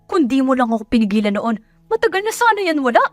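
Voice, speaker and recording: A woman acts out a furious middle-aged mother from the provinces in an audio drama. Her voice climbs very high as she shouts and scolds with open contempt. It is recorded close and dry over music. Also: very animated, fast, dry recording. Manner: shouting, scolding, spitting out harsh questions. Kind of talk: Tagalog audio drama acting.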